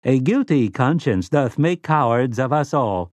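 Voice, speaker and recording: A man's voice: medium pitch, a little slow, no echo, pitched above the performer's own voice, close to an everyday voice. A man voicing a complacent, prissy male servant robot in an audiobook, with smug solicitude and silky menace.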